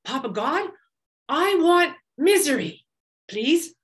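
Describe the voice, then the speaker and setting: A woman's voice: sits high, sing-song, takes it slowly, pitched above the performer's own voice, heavily acted. Woman doing a greedy eager little monkey.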